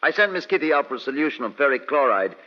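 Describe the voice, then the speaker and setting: Stern male doctor, played by an actor, mid-pitched, formal, lively intonation, muffled old radio. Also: fast talker, in a large hall, close to an everyday voice. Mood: clinical, curt. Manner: formal, curt. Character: Doctor Tillinghast, stern, clinical physician. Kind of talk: old-time radio drama acting.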